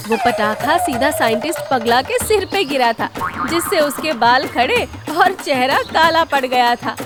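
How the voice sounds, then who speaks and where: A woman narrating a scripted comic story for a cartoon, in the role of storyteller. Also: high-pitched.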